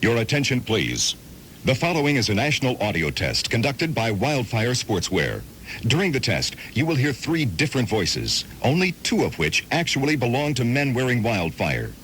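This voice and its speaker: A man reading a formal, deadpan mock-official radio commercial announcement on an old rumbly aircheck. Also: normal speed, a little hiss or hum.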